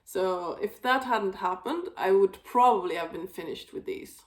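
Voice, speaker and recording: A woman hosting a knitting video, chatting casually about her projects, with a slightly raspy voice and a rambling, filler-heavy way of talking. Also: medium pitch, a little slow. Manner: rambling, many fillers and restarts. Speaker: knitting podcast host.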